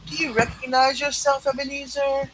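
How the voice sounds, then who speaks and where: A performer voicing a solemn, probing spirit of Christmas past in a measured, portentous amateur play reading. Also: sits high, little pitch movement, a bit bright, slight background noise, pitched above the performer's own voice, low-quality recording.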